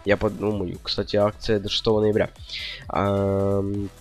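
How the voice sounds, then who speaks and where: A man's voice: lowish voice, completely flat delivery, a little slow, soft background music. A young man giving casual gameplay commentary, rambling indecisively and slangily to his viewers. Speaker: gaming video host.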